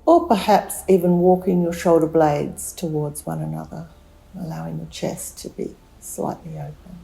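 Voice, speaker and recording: A woman leading a guided relaxation meditation, giving gentle, soothing instructions with long unhurried pauses. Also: sits low, fairly even intonation, gravelly, rough recording.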